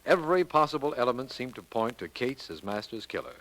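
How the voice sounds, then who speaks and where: An actor in a 1940s radio drama playing an admiring, good-humored district attorney talking over a solved case. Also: male voice, mid-range voice, big pitch swings, fast, fairly bright tone, rough recording. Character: amiable district attorney, the detective's friend.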